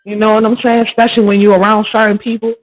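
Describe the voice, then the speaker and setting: A woman's voice: medium pitch, level tone, rapid-fire, no room sound, rough recording. A woman guest in a casual chat, talking in a tough, streetwise, emphatic way.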